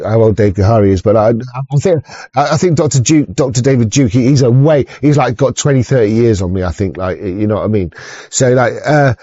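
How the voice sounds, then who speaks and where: A man's voice: mid-range voice, dark tone, husky, no room sound. A man hosting a livestream in a casual, rambling monologue, swearing constantly and restarting his sentences. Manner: heavy swearing, frequent stammered restarts. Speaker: livestream host. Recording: slightly muffled dry mic.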